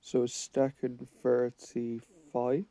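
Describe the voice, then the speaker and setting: A man's voice: mid-range voice, monotone, takes it slowly, creaky, mellow, dry recording. A man with a cold and a fading voice, giving rambling gameplay commentary that keeps correcting itself. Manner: rambling, self-correcting, thinks aloud while playing. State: has a cold, losing his voice. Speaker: gaming channel host.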